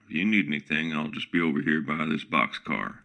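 A man's voice: extremely low pitch, a little fast, gravelly, sharp tone, heavily acted. An actor playing a folksy smart home assistant with a country singer's persona, who keeps boasting about his own titles. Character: folksy country-singer smart speaker, male, middle-aged, self-promoting. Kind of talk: comic radio play.

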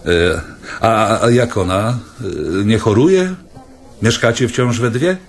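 Actor as a hesitant, low-voiced male writer. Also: sing-song. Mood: flustered, hesitant. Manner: hesitant, halting, musing. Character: awkward male writer narrating a postwar reunion, self-absorbed. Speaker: narrator and lead character. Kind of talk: radio drama acting. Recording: clear background ambience noise.

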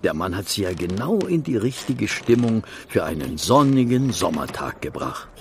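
Good-natured gentleman sidekick for radio play, gravelly, hugely varied intonation, easily astonished, hesitant. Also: fairly low pitch, moderate pace, slight background noise. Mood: bemused. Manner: expressive, hesitant, full of interjections. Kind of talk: German audio drama acting.

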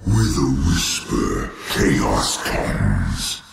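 An actor playing a monstrous tentacled old god in a video game, gravelly, grand and sinister. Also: male voice, rumbling low, wildly swooping pitch, extremely slow, crowd noise, exaggerated voice. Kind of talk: video game villain voice lines. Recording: echoing game audio with loud music.

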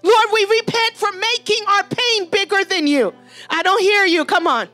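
High, urgent woman preacher over music. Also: moderate pace, sharp tone. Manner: urgent, pleading, exhorting with repeated phrases. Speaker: preacher leading a prayer at an altar call. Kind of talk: fervent charismatic sermon and prayer.

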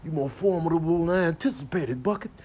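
A man's voice: on the high side, quick pace, darkish tone, slightly rough. A man doing comedic improvised animal voiceover in playful, slangy patter.

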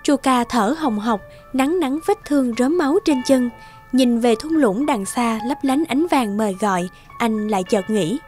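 A woman with a fairly high voice narrates a children's fairy tale. Her delivery is scripted and measured, in storyteller style, with music clearly playing behind her. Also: expressive, a little mellow.

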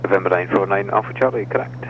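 A man working as an air traffic controller, reading procedural clearances over aviation radio. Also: sits low, fast talker. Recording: muffled radio with faint engine hum.

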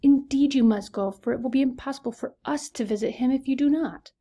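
Woman doing a fussy, excitable mother. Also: high-pitched, brisk, husky. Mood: insistent. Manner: exclaiming, insistent, raised voice. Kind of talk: reading a novel aloud.

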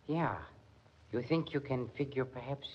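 An actor playing a suave, defiant concert musician with a Continental European accent and formal phrasing, in 1940s radio style. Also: male voice, mid-range voice, husky. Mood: suave, defensive. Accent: foreign, Continental European. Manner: formal, measured foreign phrasing. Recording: muffled 1940s radio recording.

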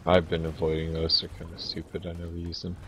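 A man casually teasing a friend in a dry, deadpan way while they play a game together. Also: sits low, fast talker, a little hiss or hum. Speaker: co-op player, guest. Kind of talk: casual co-op gameplay chat.

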